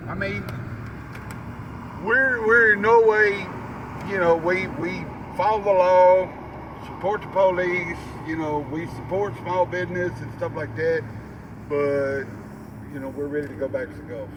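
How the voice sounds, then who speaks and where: A man's voice: high voice, a little slow, highs cut off. A man chatting casually in a rambling, folksy way, repeating his phrases. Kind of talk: casual chat. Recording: distant mic, noisy outdoor recording.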